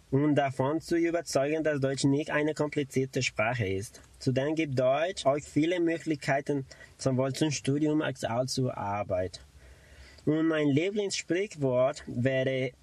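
A man's voice: mid-range voice, a little mellow, no room sound. A young man from Colombia, raised in Mexico, with as his language, introducing himself as a German learner and carefully searching for words. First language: Spanish. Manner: careful, searching for words with frequent "naja" fillers. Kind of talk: self-introduction monologue.

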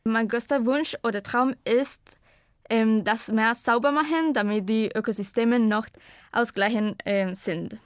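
A woman's voice: on the high side, a little slow, no echo, clean studio sound. Young woman speaking learner German. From Costa Rica. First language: Spanish. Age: 20s. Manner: hesitant, searching for words. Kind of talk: personal self-introduction.

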